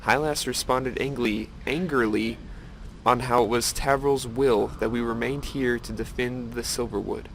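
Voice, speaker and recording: A man playing a solemn elf who gravely recounts old events in a fantasy game cutscene. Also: mid-range voice, fairly even intonation, moderate pace, slight background noise, natural voice. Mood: grave, suspicious. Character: solemn elven lore-keeper recalling a council's schism.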